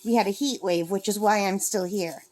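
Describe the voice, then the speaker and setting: A woman's voice: medium pitch, normal speed, a little mellow. Chatty woman in her fifties. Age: 50s. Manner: rambling, self-correcting, chatty. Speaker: craft vlogger. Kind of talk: casual chat while crafting. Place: cabin at a lake.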